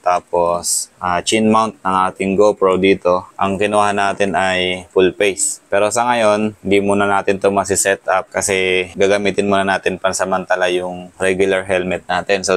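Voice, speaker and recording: A man's voice: deep voice, smooth, dry recording. A Filipino man giving a casual hands-on installation walkthrough, thinking aloud as he works. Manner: thinking aloud while working with his hands. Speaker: motorcycle gear vlogger.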